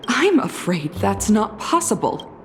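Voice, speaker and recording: A woman's voice: medium pitch, sing-song, unhurried, slight background noise. An actress playing a female head of business for a company in a game cutscene, polite and corporate, stammering when rattled. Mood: flustered. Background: game music.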